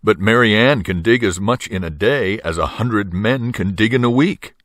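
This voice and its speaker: A man's voice: lowish voice, normal speed, fairly bright tone, no echo, lightly acted. A proud, confident steam shovel operator from a children's storybook, played by a man reading aloud. He speaks emphatically and with assurance, in a dry, close-sounding recording. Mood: confident, eager.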